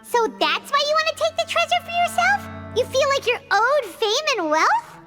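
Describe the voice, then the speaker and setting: Cheeky little fairy sidekick for a game: extremely high squeaky child voice, very animated, loud music underneath. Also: female voice, normal speed. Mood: indignant. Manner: exclamatory, speaks of herself in third person. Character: small excitable childlike fairy companion, female, cheeky. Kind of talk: video game voice acting.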